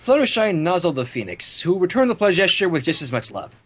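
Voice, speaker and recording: An expressive story reader narrating fan fiction with casual asides. The voice moves widely in pitch and has a husky, breathy grain. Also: brisk.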